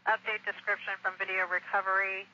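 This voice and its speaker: American male police dispatcher, high-pitched, monotone, clipped procedural delivery on muffled scanner radio. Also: a little fast.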